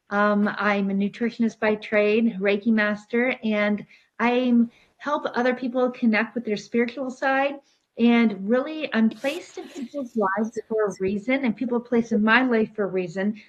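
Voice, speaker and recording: A woman's voice: fairly high pitch, dull audio. A woman from Ontario, Canada, co-hosting, introducing herself and asking questions in an upbeat manner. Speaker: co-host.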